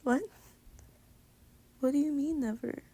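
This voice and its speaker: A woman playing an affectionate, teasing girlfriend with a hidden insecurity, in intimate pillow-talk roleplay audio, often slipping into breathy whispers. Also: high voice, slow, warm tone. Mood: hesitant, vulnerable.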